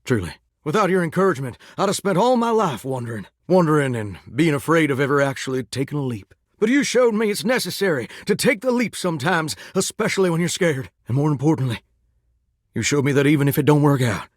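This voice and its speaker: A man's voice: a little high, big pitch swings, brisk, dry recording, studio quality. A man with a Southern US accent voicing a folksy, earnest, starry-eyed singing shower in a dating game, drawling homespun sayings. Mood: hopeful, grateful. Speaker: voice actor in a dating sim game. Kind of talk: expressive video game character dialogue.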